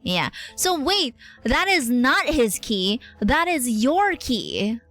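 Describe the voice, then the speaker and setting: Woman, live game streamer, lively intonation, casual, sweary, thinking aloud, background music. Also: high voice, takes it slowly. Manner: informal, exclamatory, swears freely, thinks out loud. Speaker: streamer reacting to a role-playing game. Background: game music behind the voice.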